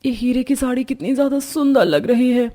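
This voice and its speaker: A woman's voice: high-pitched, brisk, warm tone, natural voice. A woman voicing a snobbish, exclaiming young new bride in a cartoon story. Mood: delighted. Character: wide-eyed, snobbish young new bride, a rich daughter-in-law. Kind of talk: Hindi cartoon story voice acting.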